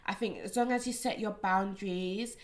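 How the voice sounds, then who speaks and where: A woman. She talks easily, laughs often and slips into little acted-out quotes. Her voice sits at an ordinary middle pitch. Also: fairly even intonation, moderate pace. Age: 20s. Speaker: podcast host. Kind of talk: casual podcast chat.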